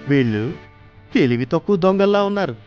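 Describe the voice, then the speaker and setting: A man voicing a clever, gleeful court poet with a self-satisfied manner in an animated children's story. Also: fairly high pitch, a little fast, natural voice. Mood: amused scheming. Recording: muffled lo-fi, music underneath.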